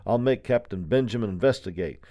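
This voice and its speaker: A man voicing a desperate young patriot soldier held prisoner in an audiobook story, shouting and pleading urgently. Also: on the low side, animated, a little fast, lightly acted.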